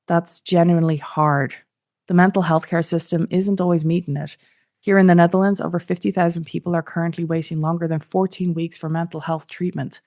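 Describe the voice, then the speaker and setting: A woman hosting an interview podcast, speaking in measured, emphatic phrases. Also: fairly low pitch, a little mellow. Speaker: podcast host. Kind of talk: scripted introduction and interview.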